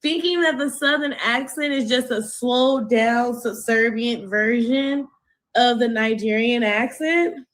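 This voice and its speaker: A woman's voice: a little high, takes it slowly, fairly bright tone, poor audio. A woman commentator giving an indignant, emphatic opinion with sarcastic jabs. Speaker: online commentator.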